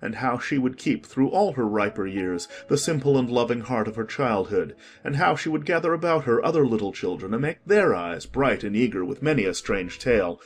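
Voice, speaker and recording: A man narrating an audiobook in a calm, measured way. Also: mid-range voice.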